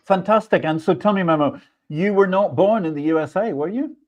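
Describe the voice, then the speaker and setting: A man's voice: sits high, fairly quick, a little mellow. A man hosting an interview show, reading an introduction and asking questions. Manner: enthusiastic, effusive praise. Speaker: podcast host. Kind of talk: interview host.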